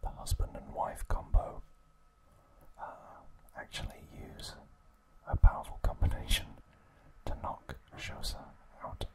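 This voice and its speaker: A man hosting an ASMR video, narrating in a hushed, unhurried whisper. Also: poor audio. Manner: slow, hushed, unhurried trivia recap delivery.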